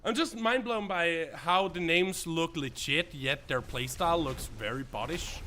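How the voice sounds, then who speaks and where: Bright-voiced Danish streamer man. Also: on the high side, a little slow. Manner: rambling and chatty, with many fillers and restarts. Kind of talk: live gameplay commentary, chatting with viewers.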